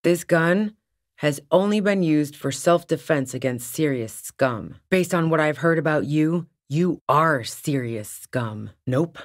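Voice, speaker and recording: A woman's voice: low-pitched, expressive, unhurried, no echo. A woman playing a tough, sardonic female lorry-driving smuggler in a video game, with a dry, sarcastic and casually profane way of talking. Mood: defensive, cold. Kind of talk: video game character dialogue.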